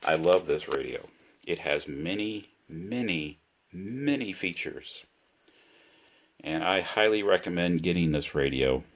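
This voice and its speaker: A man giving a casual, unscripted product demonstration, speaking deliberately and repeating himself for emphasis. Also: low-pitched. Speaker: product reviewer.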